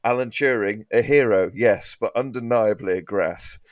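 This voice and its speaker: A man, a comedy podcast guest, riffing in a halting, mock-exasperated manner in casual panel chat. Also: lowish voice, no echo.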